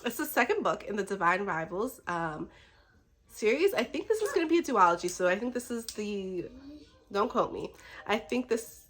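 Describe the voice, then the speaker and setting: A woman speaks as a book vlogger in a casual, chatty style, sounding slightly sick. Her delivery is effusive and rambling with a giggly streak, and her pitch sits in the middle range for a woman. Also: sing-song, normal speed.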